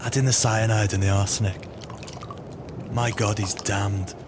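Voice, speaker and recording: An actor playing a cold, calculating swindler with a wry, scheming manner in an audio play. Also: male voice, lowish voice, little pitch movement, unhurried, background noise, natural voice. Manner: wry, scheming, colourful phrasing. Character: cold, calculating male swindler plotting murder.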